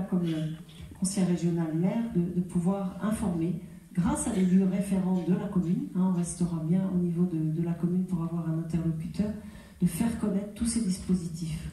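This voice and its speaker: A woman's voice: on the low side, mellow. A woman speaking as a local politician at a public meeting, explaining things in a semi-improvised campaign speech and often correcting herself. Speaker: local politician and mayoral candidate. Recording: very echoey room, distant muffled mic.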